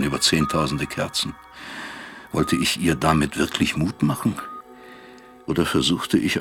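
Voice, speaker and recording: An actor playing a guilt-ridden middle-aged father in an introspective radio drama, gravelly and husky, brooding and questioning himself in halting phrases. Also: rumbling low, a bit bright, full character voice.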